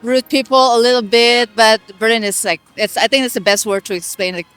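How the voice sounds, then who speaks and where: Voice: mid-range voice, fairly quick, a bit bright, smooth, no echo. Interviewee, casual, hedgy, dry close mic, light music. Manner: casual, hedging. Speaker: street interviewee. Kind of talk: casual street interview answer.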